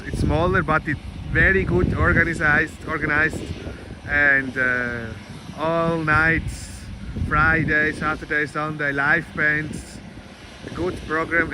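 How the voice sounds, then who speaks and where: A man from Switzerland chats to his viewers in easygoing, often repeating himself as he goes. His voice sits a little high. Loud aircraft engines roar behind him outdoors at the airport. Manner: chatty, non-native phrasing, repeats words. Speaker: airport livestream host. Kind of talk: casual live-stream chat, reading viewer comments. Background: aircraft engine noise.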